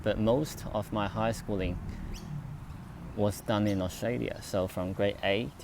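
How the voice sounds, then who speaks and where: A young man from China, a native Chinese speaker, telling a personal story to camera outdoors, halting and full of fillers and restarts. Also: fairly low pitch, fairly even intonation, creaky, background noise. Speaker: vlogger. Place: outdoors near traffic, turkey nearby.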